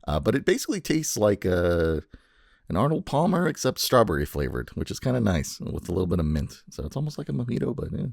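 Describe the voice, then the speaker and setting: A man's voice: on the low side, big pitch swings, fast talker, gravelly, a little mellow, dry recording, studio quality. A man hosting a podcast in casual chat, playfully teasing and swearing freely. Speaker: podcast host.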